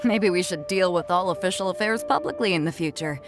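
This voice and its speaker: A woman's voice: fairly low pitch, brisk, close to an everyday voice. A woman as a blunt, swaggering ship captain. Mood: wry. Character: bold, easygoing adult female ship captain. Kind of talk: video game voice acting. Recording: prominent music under the dialogue.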